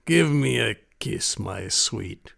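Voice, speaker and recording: An actor playing a gloating, mock-courteous villainous hunter, pompous and theatrical, in an audio drama. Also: male voice, on the low side, expressive, gravelly, a bit bright, heavily acted. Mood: oily, mock-charming. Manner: pompous, formal, drawn-out taunting.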